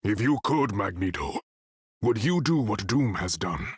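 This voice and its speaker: Raspy, proud game hero. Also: male voice, fairly low pitch, animated, unhurried, dry recording, clean studio sound, heavily acted. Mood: challenging. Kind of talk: video game character acting.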